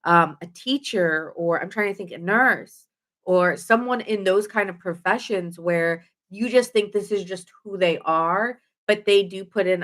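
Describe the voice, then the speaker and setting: A woman's voice: on the low side, a bit bright. A woman doing a casual live tarot reading, chatty and rambling with fillers and self-corrections, her nose stuffy. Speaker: tarot reader.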